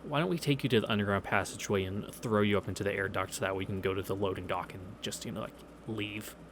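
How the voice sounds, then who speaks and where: A man's voice: fairly low pitch, fast, background noise. A man reading a visual novel aloud as a streamer, adding casual, irreverent and profane asides, with game music behind him. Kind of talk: read-through with casual asides.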